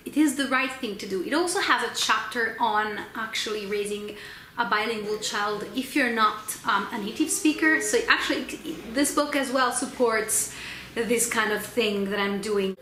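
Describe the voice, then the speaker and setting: Italian lady vlogging about books, lots of ums, bright voice, echoey room with a little hiss. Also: medium pitch. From Italy. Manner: chatty, hesitant with many ums and restarts. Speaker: book channel host. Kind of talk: casual book wrap-up vlog. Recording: echoey room with faint noise.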